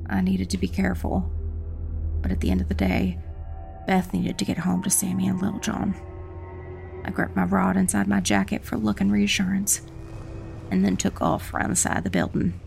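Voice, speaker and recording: Very creaky female audiobook narrator. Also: medium pitch, darkish tone. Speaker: first-person narrator. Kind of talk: scripted dark fantasy audiobook narration.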